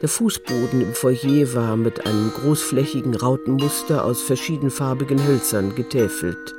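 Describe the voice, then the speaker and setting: Male storyteller over clear background music. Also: mid-range voice, moderate pace. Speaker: narrator. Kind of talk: scripted literary narration.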